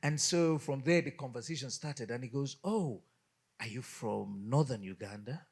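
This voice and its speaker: A man from Nigeria, a guest pastor, telling an animated story in a studio interview and acting out the dialogue. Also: medium pitch, normal speed, husky.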